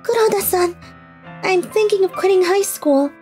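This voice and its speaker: An earnest, youthful schoolgirl played by a woman in a manga drama. Her voice is extremely high and girlish, and music plays underneath. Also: moderate pace, darkish tone. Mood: shy, earnest. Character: earnest shy teenage high school girl, later playful young bride. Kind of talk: dubbed manga story acting.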